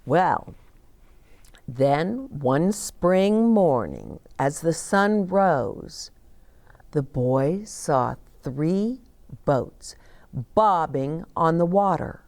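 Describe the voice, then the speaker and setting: Older woman reading stories very slowly. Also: deep voice, big pitch swings, darkish tone. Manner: deliberate, dramatic phrasing with pauses. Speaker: children's story reader and host. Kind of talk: expressive storybook read-aloud. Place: small decorated set, indoors, seated.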